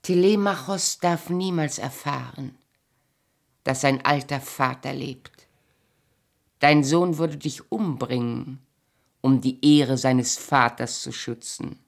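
Older wife in a german radio play, slow and gravelly, kinda bitter, bossy but loving. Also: female voice, extremely low pitch, exaggerated voice. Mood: grave, knowing. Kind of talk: German radio drama acting.

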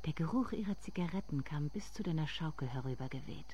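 An actress playing a somber narrator in a literary radio drama, speaking in measured, deliberate phrases. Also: female voice, low-pitched, expressive, heavy background noise. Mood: quiet, reflective.

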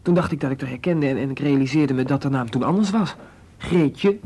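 A man's voice: medium pitch, brisk, dark tone, breathy edge. A young man playing a nervous, evasive seventeen-year-old schoolboy in a radio drama, hesitant, stammering and defensive. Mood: nervous, explaining.

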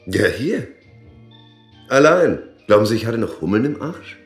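A man's voice: mid-range voice, big pitch swings, a little slow, reverberant, soft background music. An actor playing a rich, flippant and defiant male suspect in a German radio crime drama, speaking colloquially and sardonically. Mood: defensive.

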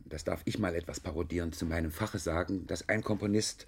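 A man holds forth as a music expert in an emphatic, lecturing tone. His voice sits low and sounds somewhat husky. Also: steady tone, fairly quick. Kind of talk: expert talk.

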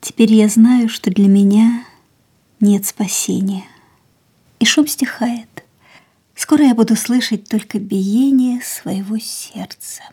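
An actress playing a fatalistic, defiant young woman in a radio drama, theatrical and wistful, with drawn-out words. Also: fairly high pitch, fairly even intonation, mellow, husky. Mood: resigned clarity. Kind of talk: radio drama acting, inner monologue and dialogue.

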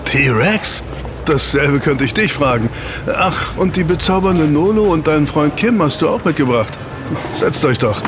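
An actor in a German audio drama playing a suave, jovial newspaper reporter with a chummy, teasing manner. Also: male voice, on the high side, lively intonation, unhurried. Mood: jovial, surprised. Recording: clear ambient noise behind.

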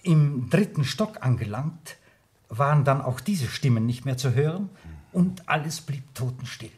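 A man playing an earnest witness in a German radio play, polite, precise and insistent, with a rough, husky voice. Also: medium pitch, animated, a little slow, darkish tone. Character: earnest male witness adding detail to a police report.

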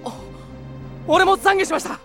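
An actor playing a rough-spoken young thief, desperately loyal and repentant, blurting out his words with passion in an anime audio drama. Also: very high voice, moderate pace, a bit bright, soft background music. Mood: desperate, pleading. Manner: impassioned, blurting. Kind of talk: Japanese anime-style audio drama acting.

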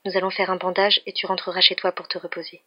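A storybook narrator voicing a confident, bossy but kind young woman doctor with a brisk, professional manner. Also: medium pitch, monotone, slightly rough, no echo, close to an everyday voice. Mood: reassuring, teasing. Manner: professional, matter-of-fact, commanding. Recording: dull, muffled lo-fi recording.

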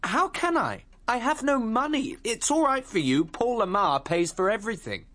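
A man's voice: high voice, very animated, moderate pace, fairly bright tone, no room sound, exaggerated voice. An actor playing an earnest, impulsive young Englishman, well-spoken and emotional, in a radio drama. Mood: frustrated, despairing. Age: young adult. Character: earnest, lovestruck, restless young Englishman, aspiring composer. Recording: music under the voice.

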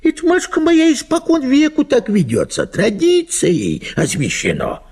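A man's voice: very high voice, huge pitch swings, normal speed, a little mellow, breathy edge, pitched above the performer's own voice, heavily acted. A man playing a sleepy, grumpy, cynical husband who mumbles and drawls in an audio play. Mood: mocking.

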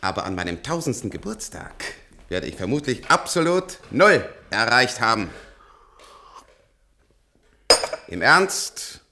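An actor playing an ancient, sardonic and imperious transplant patient in a German science-fiction radio play, with an ironic, drawn-out theatrical delivery. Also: male voice, medium pitch, very animated, noisy background. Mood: mocking, genial. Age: older.

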